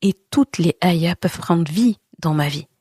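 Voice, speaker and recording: A woman's voice: lowish voice, animated, measured pace, mellow, breathy edge, no echo, clean studio sound. A woman hosting a podcast and giving a religious teaching talk, earnest and reassuring as she speaks straight to the listener. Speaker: podcast host.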